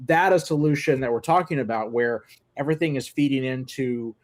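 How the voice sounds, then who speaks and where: A man, an amateur radio operator, talking tech in a casual chat and often pausing to search for words. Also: medium pitch, dry recording.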